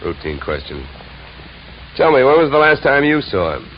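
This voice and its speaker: An actor playing a wry, deadpan insurance investigator in a 1950s radio detective drama, brisk and wisecracking. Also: male voice, medium pitch, slight background noise, lightly acted. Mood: dry, questioning. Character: wry, hard-boiled male insurance investigator, narrating his case. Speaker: lead actor and narrator. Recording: dull vintage radio recording, music behind.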